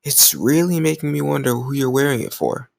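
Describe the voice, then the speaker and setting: Man playing a cocky, gravelly flirt. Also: medium pitch, animated, dry recording, studio quality. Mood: admiring, jealous. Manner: teasing, cajoling, smug, drawn-out words. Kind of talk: intimate romantic audio roleplay.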